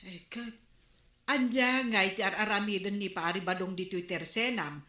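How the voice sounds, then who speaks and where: An actress playing a nosy, sympathetic village neighbour, exclaiming and tutting with an Ilocano accent in a radio comedy drama. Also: female voice, mid-range voice, moderate pace, pushed and bright, reverberant, close to an everyday voice. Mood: disapproving.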